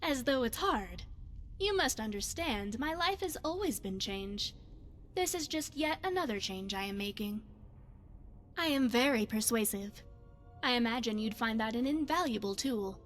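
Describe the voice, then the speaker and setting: A woman playing a poised, secretive spy devoted to her goddess in a video game, speaking in formal, measured phrases. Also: on the high side, lively intonation, faint music behind, lightly acted. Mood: devout, persuasive. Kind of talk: scripted video game character dialogue.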